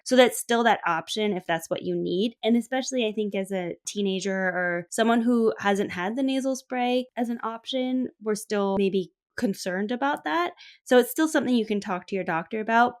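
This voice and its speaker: Casting: female co-host with average-pitched voice, informal chatty discussion from a patient's perspective. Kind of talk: casual conversational discussion.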